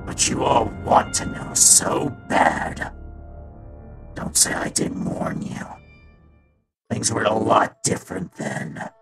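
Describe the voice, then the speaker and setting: A performer playing a guilt-ridden male robot daycare attendant in a fan comic dub, halting and sombre, with a gravelly voice that drops into whispers. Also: medium pitch, expressive, over music, full character voice. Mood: reluctant, bitter.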